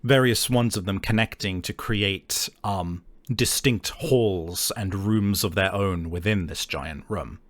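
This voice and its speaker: A man narrating a tabletop game as game master, improvising and often halting to search for words. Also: low voice.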